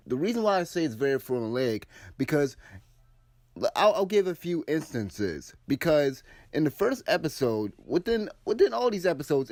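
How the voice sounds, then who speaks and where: A man talking casually about an anime, a little hoarse from a cold and sore throat, rambling with frequent restarts. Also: medium pitch, darkish tone, no echo. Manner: rambling, many restarts and fillers. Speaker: anime commentary host. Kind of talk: casual video commentary.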